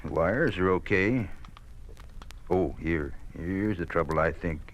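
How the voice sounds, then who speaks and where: An actor playing a kindly, stubborn old farmer with a folksy, halting way of talking in an old-time radio drama. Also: male voice, sits low. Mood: puzzled, determined. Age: older. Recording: muffled, noisy vintage radio recording.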